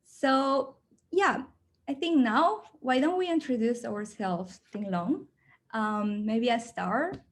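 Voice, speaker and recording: A woman's voice: on the high side, expressive, slow. Mexican woman hosting a zoom call, chatty and upbeat, lots of ums. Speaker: program host. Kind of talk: casual video call presentation. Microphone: video call.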